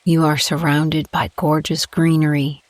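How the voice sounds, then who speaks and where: A woman narrates a guided meditation at a slow, restful pace. Her delivery is gentle and calm, with pauses between phrases. The sound is dry and intimate, with little room around the voice. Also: deep voice, no echo.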